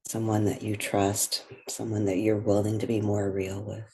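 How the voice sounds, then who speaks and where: A woman leading a guided meditation in gentle, unhurried phrases with pauses. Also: rumbling low, level tone, a little hiss or hum.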